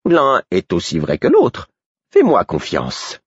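A man's voice: on the low side, huge pitch swings, takes it slowly, breathy edge, no room sound, dull audio, voice pushed up, exaggerated voice. A man voicing a flamboyant, mocking court jester who speaks in riddles. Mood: sly. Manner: mocking, theatrical.

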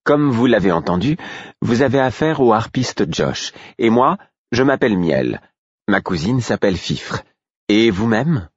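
A man voicing a sharp-tongued, teasing woman minstrel in an audiobook, mocking and quick-witted. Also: lowish voice, sing-song, husky, no echo, highs cut off, voice pushed up, full character voice.

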